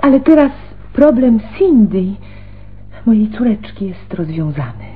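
A cool widow and mother in a radio crime play. Her voice is fairly high, and the old-radio sound is muffled and narrow. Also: sing-song, unhurried. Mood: cool, frank. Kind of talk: radio crime drama acting. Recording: muffled, band-limited radio recording.

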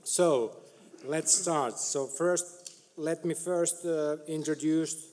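Slow, halting man chairing a session. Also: a little high, fairly even intonation, darkish tone. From Finland. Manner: slow, hesitant, many filler pauses. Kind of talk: conference introduction. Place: large conference hall stage. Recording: reverberant hall.

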